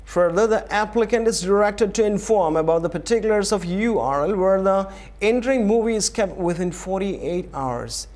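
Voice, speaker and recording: Male news presenter, Indian English accent, high register, formal scripted delivery. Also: lively intonation, moderate pace, darkish tone. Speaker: news reader.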